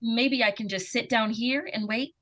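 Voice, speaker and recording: A woman's voice: fairly high pitch, normal speed, heavy background noise, close to an everyday voice. A woman acting an inquisitive, innocent-seeming young girl in 1940s radio suspense style, with a hesitant, artless, questioning manner. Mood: polite, nosy.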